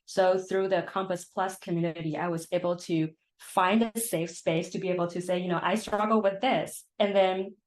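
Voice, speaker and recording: A young woman from Taiwan speaks in a fairly low voice as a counseling intern on a live stream. She talks reflectively, leaning on fillers like "like" and "you know" while she gathers her thoughts. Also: fairly even intonation, normal speed, hardly any pauses, low-quality recording.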